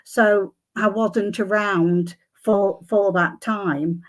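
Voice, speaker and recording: An older woman, a psychic guest, answering questions with many pauses and restarts, her voice a little croaky. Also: mid-range voice, fairly even intonation. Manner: hesitant, many ums and restarts. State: slightly croaky voice. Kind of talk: conversational interview answers.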